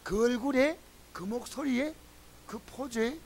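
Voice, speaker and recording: A man's voice: extremely high pitch, a little slow, a little hiss or hum. A man preaching a sermon as pastor, speaking in a Gyeongsang accent and telling folksy stories to his congregation. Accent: Gyeongsang Korean. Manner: folksy, animated storytelling to the congregation.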